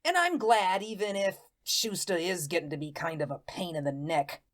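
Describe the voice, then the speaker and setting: A woman's voice: fairly low pitch, normal speed, natural voice. A woman playing a naive, lovelorn male ghost who narrates his story in folksy, slangy talk, in the style of a radio play. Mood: chatty, guileless. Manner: folksy, colloquial, guileless storytelling.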